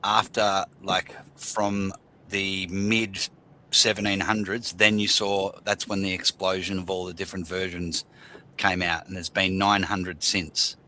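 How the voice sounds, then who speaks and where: Bright, low-voiced man explaining. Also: little pitch movement, measured pace, a little hiss or hum. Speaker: panelist. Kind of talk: casual panel discussion.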